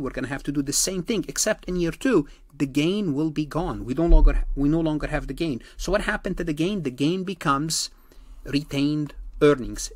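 Higher-pitched man lecturing, emphatic. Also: dry recording, studio quality. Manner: emphatic, repeats key phrases. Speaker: accounting lecturer. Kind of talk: exam-prep lecture explaining worked examples.

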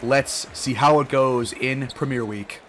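A slightly hoarse man giving casual gameplay commentary, relaxed and full of fillers, louder and livelier when calling plays. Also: mid-range voice, measured pace. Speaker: gaming YouTuber. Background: game music.

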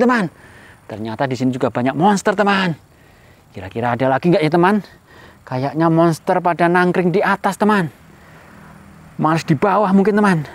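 A man hosting a playful toy-hunt vlog, exclaiming and putting frequent questions to his viewers. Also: high voice. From Indonesia. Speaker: host of a children's toy-hunt video channel.

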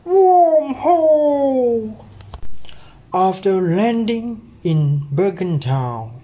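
A young student with a Thai accent narrates a storybook-style cartoon script. The delivery is very slow, at a medium pitch. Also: dark tone, a little hiss or hum, rough recording. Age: young adult.

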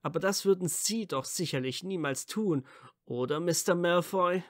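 A man's voice: high-pitched, moderate pace, a bit bright, dry recording, clean studio sound. A man playing a playful, sulky teenage wizard boy in a fan audio story, bantering and mock-offended. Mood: playful teasing. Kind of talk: fan audiobook character acting.

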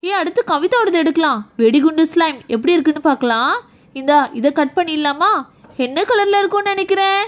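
Eager, exclaiming young girl for a children's toy tale, extremely high pitch, played by a woman. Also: a little fast. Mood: curious. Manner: exclaiming, chatty. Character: cheerful, eager little girl playing with friends. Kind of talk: children's toy play story.

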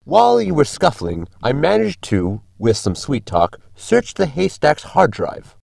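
A logical, fussy robot crewmate acted by a man in a sci-fi audio comedy. The male voice is very creaky and lively, with precise, formal phrasing and a dry, close sound. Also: fairly high pitch, unhurried, no echo, heavily acted. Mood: matter-of-fact. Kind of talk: science fiction comedy audio drama.

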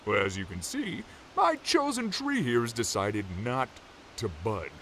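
A man acts out a giant folktale lumberjack for an audio drama. He is hearty and boastful, talking in a folksy, theatrical way. His mid-pitched voice moves up and down in a very lively way. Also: a little slow, slight background noise, heavily acted. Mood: earnest, hopeful.

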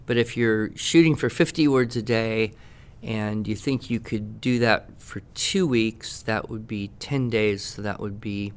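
A man giving conversational expert advice on a podcast, explaining in measured phrases with pauses mid-sentence. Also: lowish voice. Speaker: education expert answering questions.

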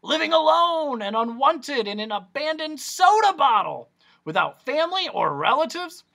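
A man's voice: extremely high pitch, sing-song, moderate pace, gravelly, pushed and bright, voice pushed up, heavily acted. A man voicing Doctor Discord, a fussy, eccentric male doctor who lectures theatrically. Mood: explaining.

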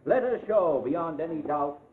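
An actor playing a forceful, scornful prosecutor addressing a jury with emphatic oratory in a 1940s radio drama. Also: male voice, a little high, lively intonation, measured pace, a little hiss or hum. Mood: accusing. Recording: narrow, tinny old radio recording.

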